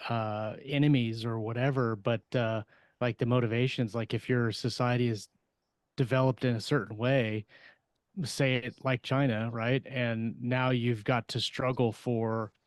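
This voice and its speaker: A man's voice: medium pitch, flat delivery, measured pace, dry recording. A man with a clean, resonant voice recorded very dry. He talks casually as a podcast co-host, rambling a little as he works through his thoughts in a panel discussion.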